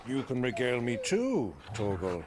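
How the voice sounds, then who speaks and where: A man's voice: medium pitch, wildly swooping pitch, moderate pace, noisy background. A man playing a fond, gallant older uncle in a video game, good-humoured and courtly in his florid phrasing. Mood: fond, jovial. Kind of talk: video game cutscene acting.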